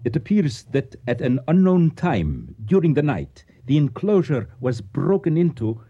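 An official in a radio play, dark and husky in voice. His delivery swings widely in pitch while staying formal, careful and deferential. The recording is dull. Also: very animated, unhurried, heavily acted. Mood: courteous, careful. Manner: formal, precise, elaborately courteous. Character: polite Egyptian antiquities official, formal, deferential, careful uncle. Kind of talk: radio drama acting.

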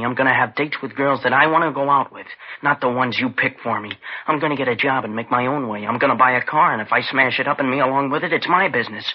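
Man as earnest, wounded young man, husky mid-pitched voice, emotional 1950s radio drama acting, muffled lo-fi broadcast. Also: close to an everyday voice. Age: young adult. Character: smothered, bitter twenty-two-year-old son rebelling against his mother.

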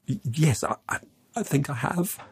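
A man voicing a frightened, desperate youth who speaks in a halting stammer, in an audiobook story. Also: mid-range voice, very animated, darkish tone, slightly rough, voice pushed up. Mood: stammering, timid.

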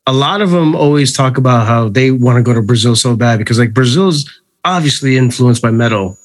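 A man's voice: mid-range voice, fairly quick. A man from New Jersey hosting a podcast interview in a casual, informal way, joking and swearing freely. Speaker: podcast host interviewing a band. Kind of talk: casual chat.

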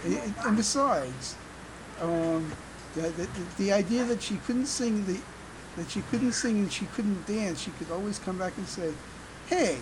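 A podcast host chatting casually in a halting way, often stammering and repeating words. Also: medium pitch, rough recording. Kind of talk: casual chat. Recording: clear background noise.

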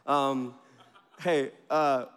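A man in his thirties from Venezuela, whose first language is Spanish, preaching in a chatty, joking way with bursts of excitement. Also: mid-range voice, drawn-out words, clear, resonant tone. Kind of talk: informal sermon introduction. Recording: reverberant hall.

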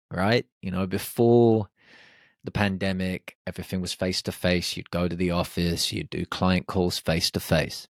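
A man hosting his own podcast, talking alone in a lively, animated way with plenty of exclamations. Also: sits low, no echo, studio quality. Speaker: podcast host. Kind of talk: solo podcast monologue. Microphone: podcast microphone.

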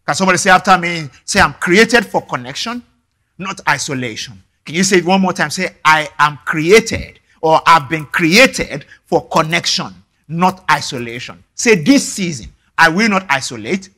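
A man from Nigeria, a native Yoruba speaker, preaching a sermon earnestly, often repeating words and filling pauses. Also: a little high, expressive, pushed and bright. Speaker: pastor.

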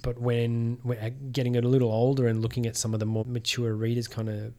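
A man's voice: medium pitch, level tone, fairly quick, a little mellow. A man hosting a podcast in casual interview chat. He hesitates often, restarting phrases when he talks at length. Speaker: podcast host.

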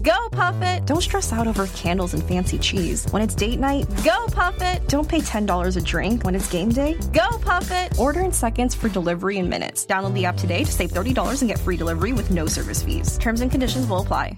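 A woman doing an upbeat scripted ad voice-over, punchy and persuasive. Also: mid-range voice.

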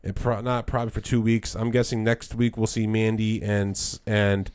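A man's voice: on the low side, completely flat delivery, moderate pace, darkish tone, clean tone, no room sound. A man co-hosting a podcast, talking casually about wrestling in a rambling way with frequent restarts. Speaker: podcast co-host.

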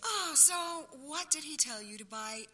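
A woman's voice: high-pitched, lively intonation, normal speed, pushed and bright, full character voice. A woman playing an exasperated, skeptical wife in a comedic audio play, with pointed sardonic questions. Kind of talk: comedic audio theater acting.

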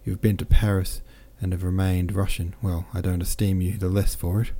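A man's voice: low-pitched, little pitch movement, fairly quick, dark tone. A man voicing a jovial, boastful French army captain who chatters in exclamations.

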